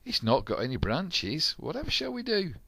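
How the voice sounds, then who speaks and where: A panicky young crewmate who frets at every turn, squeaked out by a grandpa. The voice is pushed high and wailing, with big jumps in pitch. Close, dry sound. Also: male voice, wildly swooping pitch, normal speed, creaky, fairly bright tone, husky, no echo, full character voice. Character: anxious boy crewmate, fretful.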